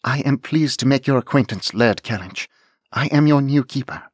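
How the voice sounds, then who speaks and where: A man's voice: mid-range voice, normal speed, slightly rough, dry recording, studio quality, voice pushed up, lightly acted. A man voicing a young noblewoman who speaks in a formal, polite and firm manner. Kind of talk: audiobook character voice.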